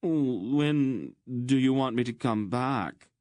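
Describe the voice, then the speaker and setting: A pampered, petulant young man from a fantasy storybook, put on by a male narrator. He whines in a raised, swooping pitch, like someone used to getting his own way. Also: lively intonation, slow pace, darkish tone, no echo. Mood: whining, desperate. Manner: petulant, whining. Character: petulant, spoiled young man, a queen's jilted favourite.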